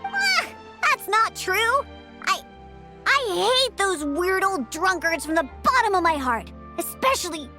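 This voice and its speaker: A woman's voice: very high-pitched, unhurried, exaggerated voice. A woman voicing an earnest, easily flustered, chatty young girl in a video game, with music behind her. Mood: flustered, indignant. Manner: excitable, chatty, indignant. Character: earnest, flustered, high-voiced girl who mixes drinks. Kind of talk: animated video game voice acting.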